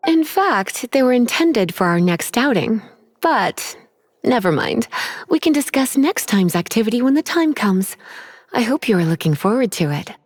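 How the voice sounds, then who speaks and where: A woman voicing an elegant, languid witch librarian in a video game, coy and formal with quiet menace. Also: on the high side, big pitch swings, no room sound, clean studio sound. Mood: teasing, playful. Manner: coy, unhurried, formal wording with teasing sighs.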